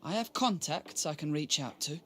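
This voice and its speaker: An actor playing an ailing, devoted young male scholar, speaking with earnest, formal intensity and a frail, breathy voice in a dramatic game style. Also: on the high side, expressive, normal speed. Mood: determined.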